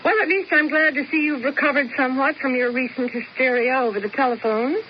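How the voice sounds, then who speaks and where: A woman's voice: sits high, fairly even intonation, quick pace, close to an everyday voice. An actress playing a jaded, aloof middle-aged woman in a radio drama, with a dry, sarcastic manner. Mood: dry, sceptical. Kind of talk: old-time radio drama acting. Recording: muffled, noisy 1970s radio broadcast.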